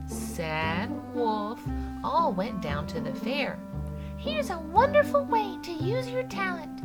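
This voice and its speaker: A woman reading a children's story aloud in an expressive, playful way, with music behind her. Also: mid-range voice. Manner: expressive, playful sound words. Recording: loud music under the voice.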